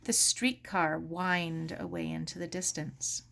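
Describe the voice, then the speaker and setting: A woman reading a children's story aloud as expressive scripted narration. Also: on the low side, slow, vocal fry. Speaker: storybook reader.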